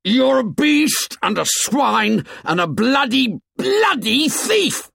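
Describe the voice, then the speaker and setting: A man voicing a desperate, earnest schoolboy leader, pleading and shouting in a raised voice. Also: fairly high pitch, sing-song, unhurried, no room sound, pitched above the performer's own voice. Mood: furious. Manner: pleading, rising to angry shouts.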